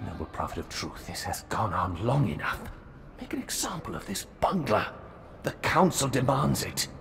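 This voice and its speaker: A man playing a haughty, scornful alien high prophet in a video game, theatrical and sneering. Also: big pitch swings, normal speed, vocal fry, noisy background. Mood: indignant. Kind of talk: dramatic video game cutscene acting. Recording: game audio with loud background score.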